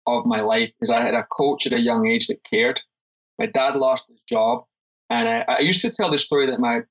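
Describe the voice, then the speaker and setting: A man's voice: medium pitch, gravelly, a bit bright, far from the mic, highs cut off. A man from Scotland with a Scottish accent, talking casually as an interview guest and often tagging his points with "right?". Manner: chatty, often adds "right?". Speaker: guest, fitness and business consultant.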